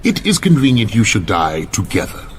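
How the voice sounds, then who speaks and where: Casting: menacing, pompous villain boss, highly varied intonation, faint noise behind him. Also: male voice, very animated, moderate pace. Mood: cold menace. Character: grandiose, menacing male crime boss, coolly gloating. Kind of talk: radio drama acting.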